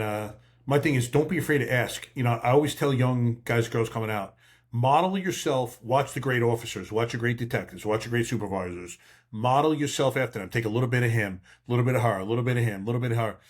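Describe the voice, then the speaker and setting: An older man with a mid-pitched voice talks like a seasoned cop hosting a podcast. He gives casual advice in a blunt, emphatic, streetwise way. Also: quick pace. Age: 50s or older. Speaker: podcast host, veteran police officer.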